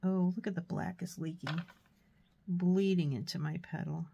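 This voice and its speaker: A woman with a stuffy nose from a cold, giving a relaxed, chatty painting tutorial with fillers and soft asides. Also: lowish voice, moderate pace, creaky, muffled recording, a little hiss or hum. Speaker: art tutor.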